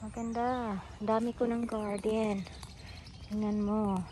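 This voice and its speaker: A woman's voice: mid-range voice, flat delivery, unhurried, mellow, slight background noise. A middle-aged woman with a Filipino accent gives a casual walking tour of her front garden outdoors, talking into her phone and switching between English and Tagalog. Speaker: vlogger giving a garden tour. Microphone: phone camera held while walking.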